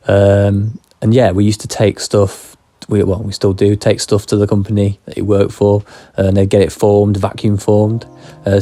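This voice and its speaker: A man's voice: fairly low pitch, warm tone, no room sound. A man hosting a podcast, giving a casual, hesitant introduction with lots of ums and uhs. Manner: hesitant, filler-heavy. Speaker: podcast host. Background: faint guitar music.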